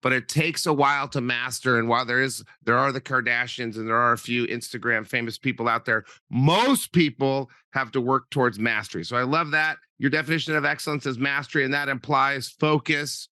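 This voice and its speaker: A man from the United States hosting a podcast interview, upbeat and joking, talking in quick bursts. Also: medium pitch, steady tone, pushed and bright, dry recording, studio quality. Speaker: podcast host.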